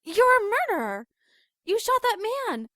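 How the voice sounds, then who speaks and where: A woman's voice: extremely high pitch, big pitch swings, unhurried, pushed and bright, exaggerated voice. An actress playing a terrified young woman in an audio drama, screaming and panicked. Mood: accusing.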